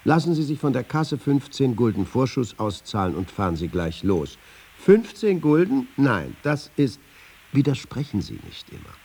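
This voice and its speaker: A dry, theatrical storyteller with a pompous streak in a radio feature. His husky voice swings widely in pitch. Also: very animated, moderate pace, darkish tone, full character voice. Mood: dryly comic. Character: dry raconteur acting out a pompous newspaper boss. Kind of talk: dramatised anecdote reading in a radio feature.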